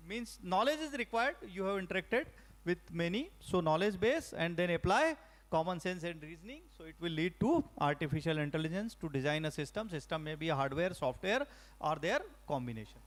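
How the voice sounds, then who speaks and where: High-voiced Indian man giving a lecture. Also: a bit bright. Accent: Indian English. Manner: halting, many fillers and restarts. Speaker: faculty trainer. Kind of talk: lecture to teachers.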